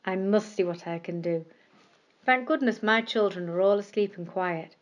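A woman voicing a kind mother cat in a children's story, soft, hushing and comforting. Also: mid-range voice, moderate pace, muffled recording, natural voice. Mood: concerned.

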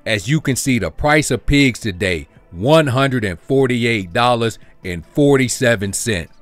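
A man hosting his own channel, explaining an investing strategy step by step over a screen recording in a steady, explanatory way. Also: mid-range voice, unhurried, dry recording. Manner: steady, explanatory, walks through figures step by step. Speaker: crypto channel host. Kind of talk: screen-share walkthrough narration.

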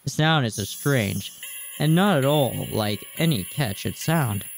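A man's voice: mid-range voice, animated, measured pace, gravelly, slight background noise. A man in his twenties reading a game's text aloud at a close desk mic, with casual reactions. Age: 20s. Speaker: gaming YouTuber. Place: small indoor room at home. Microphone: desk microphone close up. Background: game music.

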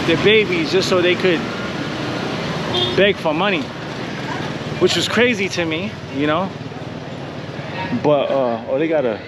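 An American man in middle age chatting casually in slangy street talk as he hosts a walking vlog. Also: on the high side. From United States. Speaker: vlogger and host. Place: outdoors walking along a city street. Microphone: handheld vlog camera at arm's length. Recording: noisy outdoor street with traffic.

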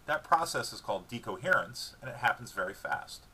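A man's voice: mid-range voice, very animated, a little fast, bright, forceful tone, a little hiss or hum. A man reading his own book dramatically, moving between narration and dialogue in a wry, explanatory way.

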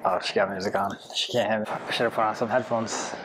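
A man's voice: fairly low pitch, flat delivery, quick pace, noisy background. A young man, a gym partner, chatting casually and counting reps to encourage his friend in a large indoor gym. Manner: easygoing, encouraging spotter. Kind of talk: casual chat and rep counting. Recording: gym with loud music behind.

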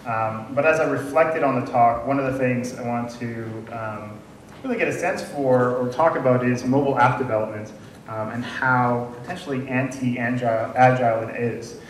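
A friendly man in his thirties gives a relaxed conference talk, filling pauses with ums and questions to the audience. He is heard from a distance in a large room with a noisy echo. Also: mid-range voice. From Canada. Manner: friendly, chatty, many ums and uhs, asks the audience questions. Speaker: conference speaker. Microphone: room mic from far off. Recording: echoey room audio from far off, noisy.

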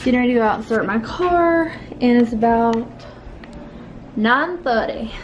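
A young woman filming a casual vlog at home, chatting and rambling with fillers and soft laughs, camera held close to her face. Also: a little high, expressive, moderate pace, a little hiss or hum. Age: young adult. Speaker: vlogger. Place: living room at home. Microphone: handheld camera close to face.

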